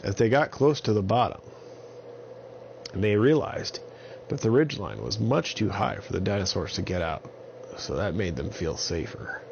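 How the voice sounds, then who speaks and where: Low, creaky male bedtime storyteller. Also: lively intonation, normal speed, a little mellow, muffled recording, a little hiss or hum. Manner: simple, repetitive phrasing for small children. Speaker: children's storyteller. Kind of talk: improvised bedtime story narration.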